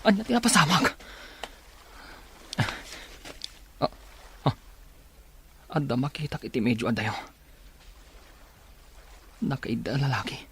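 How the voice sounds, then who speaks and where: A man acts out a shipwrecked man in an Ilocano radio drama. His mid-pitched voice is confused yet helpful, halting and gasping as he talks to himself. There is faint noise behind him. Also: sing-song, a bit bright. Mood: dazed, alarmed. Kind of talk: Filipino radio drama acting.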